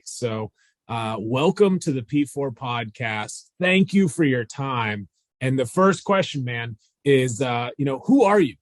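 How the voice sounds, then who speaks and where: A man's voice: medium pitch, no room sound. A man hosting a podcast interview, greeting his guest in an upbeat, friendly way with fillers. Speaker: podcast host.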